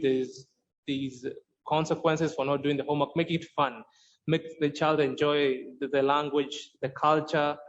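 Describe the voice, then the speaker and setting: A man explaining how he teaches Swahili in a podcast interview, earnest and often restarting sentences as he searches for words. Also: on the high side. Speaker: Swahili course creator and instructor. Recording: dull lo-fi sound with faint noise.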